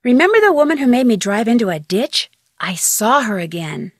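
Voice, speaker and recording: Casting: inquisitive young female amateur detective, game phone dialogue, higher-pitched voice, conversational and plucky, dry studio sound. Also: very animated, normal speed, dry recording. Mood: concerned, puzzled.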